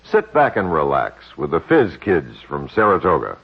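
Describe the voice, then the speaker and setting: A man reading a sponsor message for a radio broadcast with a lively sing-song lilt. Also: a bass voice, moderate pace, creaky, slightly rough. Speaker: sponsor announcer. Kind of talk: sponsor tag read. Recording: dull 1960s radio broadcast.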